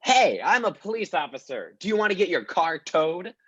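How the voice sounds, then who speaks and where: An actor playing an uptight, exasperated male police officer in a parody radio play, flustered and deadpan. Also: sits high, moderate pace, slightly rough, dull audio, heavily acted.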